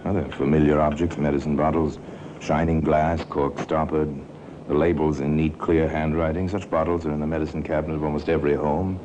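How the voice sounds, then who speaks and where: A man playing a grave, macabre museum guide and narrator in a 1950s radio crime drama, speaking slowly and theatrically with dramatic pauses. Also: a bass voice, flat delivery, dark tone, dull audio. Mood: ominous. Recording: hissy old 1950s radio recording.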